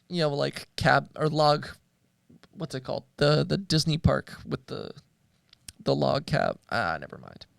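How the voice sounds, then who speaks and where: A man's voice: medium pitch, creaky, smooth, no echo, studio quality. A man co-hosting a show in casual discussion, speaking hesitantly and thoughtfully as he searches for words. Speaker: co-host of a media show.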